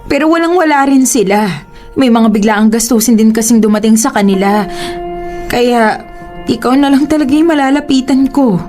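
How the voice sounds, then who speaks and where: A woman's voice: on the high side, moderate pace, darkish tone, faint music behind, lightly acted. A woman with a Filipino accent acting an emotional, reproachful young girlfriend in a radio drama. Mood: pleading, stressed. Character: hurt, indignant young girlfriend pleading with her boyfriend.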